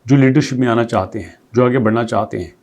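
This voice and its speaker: A man with a warm, darkish voice at a middle pitch, hosting a crypto news channel. He walks through the update in an explanatory, conversational way, stacking up rhetorical questions and restating points in plain terms. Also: fast talker. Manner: reads English passages aloud, then explains them conversationally; poses strings of rhetorical questions. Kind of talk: explanatory commentary.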